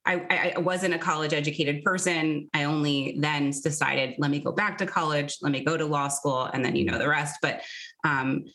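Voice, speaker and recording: Woman interview guest, thirties, low voice, candid and reflective, easy conversational pace. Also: fairly even intonation, normal speed, a bit bright. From New Jersey, US. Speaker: podcast guest.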